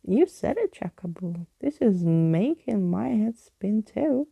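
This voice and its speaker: A woman's voice: medium pitch, lively intonation, slow, creaky, slightly rough, dry recording, muffled recording, heavily acted. A woman voicing a plucky, eager young girl in a storybook, wide-eyed and full of playful slang. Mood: puzzled.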